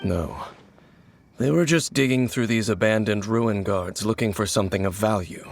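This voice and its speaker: An actor playing a mysterious, grave wanderer who hunts the Abyss, speaking in a measured and cryptic way in video game voice acting. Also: male voice, fairly low pitch, creaky. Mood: solemn. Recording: game audio with loud music.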